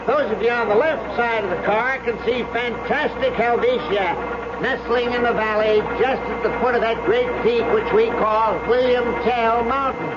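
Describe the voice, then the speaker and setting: An actor playing a folksy cable car operator with a showman's patter, in old-time radio drama style. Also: very high voice. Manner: showy tour-guide patter. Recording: noisy, dull old radio recording.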